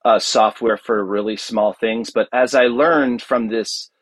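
A man's voice: on the low side, fairly even intonation, a little slow, slightly rough, dry recording. A man speaking casually as an interview guest, an enthusiastic storyteller who often stops and restarts his sentences. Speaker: podcast guest, audio drama producer.

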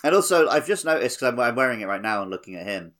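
A man's voice: medium pitch, big pitch swings, racing, a bit bright. A man co-hosting a podcast, chatting casually about a video game. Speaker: podcast co-host. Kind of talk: casual gaming chat.

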